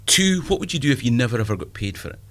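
A man's voice: medium pitch, sing-song, rapid-fire, fairly bright tone. A Scottish man in his fifties, a stand-up comedian chatting in an interview, self-deprecating and full of asides. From Scotland. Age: 50s. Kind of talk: casual interview chat.

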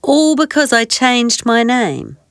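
A woman voicing a gentle, miserable childlike toy bear in a children's story, plaintive and hesitant. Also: a little high, very animated, slow, no echo, studio quality, exaggerated voice. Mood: sad, plaintive. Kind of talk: children's storybook character reading.

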